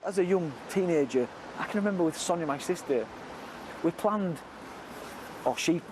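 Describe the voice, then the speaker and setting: A man in his fifties with a northern English accent, haltingly recalling the past in an interview. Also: fairly high pitch, animated. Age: 50s. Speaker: interviewee, relative of a victim. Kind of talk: interview recollection. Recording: noisy outdoor location with traffic hum.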